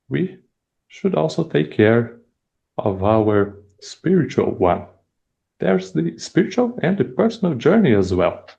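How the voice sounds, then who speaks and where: A young man from Brazil gives a prepared speech in English with a Brazilian Portuguese accent. He talks slowly and deliberately, with pauses between phrases. His voice is dark and gravelly. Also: medium pitch, highs cut off. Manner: measured, deliberate delivery with pauses. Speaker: first-time club speaker.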